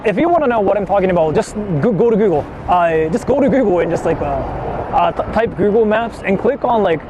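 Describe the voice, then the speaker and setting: A man chats loosely to his stream outdoors, his voice a touch high and bouncing up and down a lot. He rambles with plenty of "uh" and "like" and slips between English and Japanese. Clear traffic and street noise sit behind him. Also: animated, quick pace, lo-fi sound. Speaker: IRL livestreamer. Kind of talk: casual livestream chat while riding around a city.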